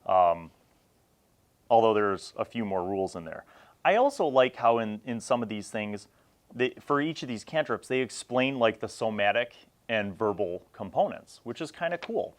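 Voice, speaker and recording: A man in his forties hosting a casual, unscripted gaming review, talking reflectively and conversationally in short phrases with pauses. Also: fairly low pitch, animated. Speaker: host of a vintage tabletop gaming review series.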